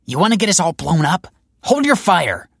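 A man's voice: sits high, sing-song, normal speed, creaky, fairly bright tone, breathy edge, no room sound, clean studio sound, voice pushed up, exaggerated voice. A man voicing a stern, cold female police detective in an audiobook story, commanding and clipped. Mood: hissed anger.

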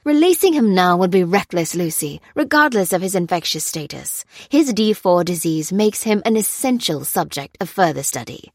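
A woman's voice: lowish voice, lively intonation, moderate pace, few pauses, no echo. A pompous male lab director, put on by a woman narrator. He is genial and formal on the surface, smug and coldly dismissive underneath, in a dry audiobook recording. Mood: smooth, dismissive. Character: breezy, smugly cheerful male scientist boss, coldly callous.

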